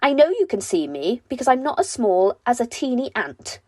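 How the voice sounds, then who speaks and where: A small storybook gnome lad, played by a woman, speaks up pluckily. He is practical and matter-of-fact, with a lively, slightly raised voice. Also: a little high, moderate pace, no room sound, voice pushed up. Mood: indignant. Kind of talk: children's storybook character voice.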